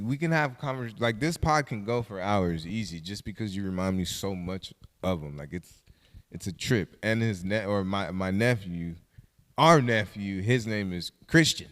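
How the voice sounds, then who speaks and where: Animated man in a reverberant room. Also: on the low side. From Southern California. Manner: animated, swearing, laughing often. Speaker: podcast host. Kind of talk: casual podcast chat.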